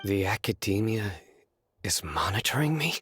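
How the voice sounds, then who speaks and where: Low, stern young general. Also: male voice, sing-song, normal speed, music in the background. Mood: guarded disbelief. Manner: serious, terse, intense. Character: stern, guarded young male general and enforcer. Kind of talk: video game character dialogue.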